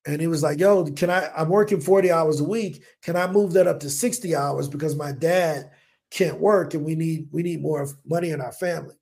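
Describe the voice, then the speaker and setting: An energetic man in his forties from the United States, telling his business story on a podcast. His voice sits slightly high for a man and has a gravelly edge. He speaks casually and acts out what he was thinking at the time. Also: fairly quick. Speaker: podcast guest, business owner. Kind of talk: casual interview talk. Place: home in Colombia.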